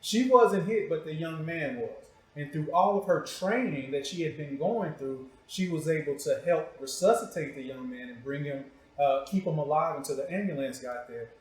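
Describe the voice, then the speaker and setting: Echoey higher-pitched male interviewer. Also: moderate pace. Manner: probing, short direct questions and prompts. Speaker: show host interviewing a guest. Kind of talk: conversational interview. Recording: echoey room.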